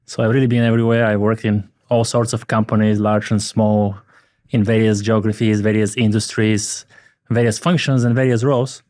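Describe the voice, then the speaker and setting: A man in his forties from Bulgaria, chatting on a podcast as a guest and telling his story in a relaxed, wry way. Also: on the low side, moderate pace, no echo, studio quality. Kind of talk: casual podcast chat.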